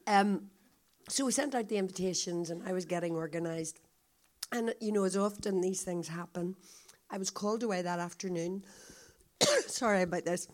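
Croaky-voiced woman telling a story. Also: fairly low pitch, normal speed, long pauses. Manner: chatty, confiding storyteller. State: hoarse, coughing. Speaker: visiting guest speaker. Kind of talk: storytelling talk to a congregation.

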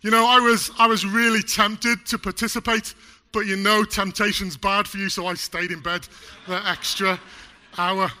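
Bright-voiced preacher. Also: male voice, high-pitched, little pitch movement, moderate pace. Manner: chatty, jokey, loose with fillers. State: recovering from a chest cold. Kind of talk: sermon. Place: church hall.

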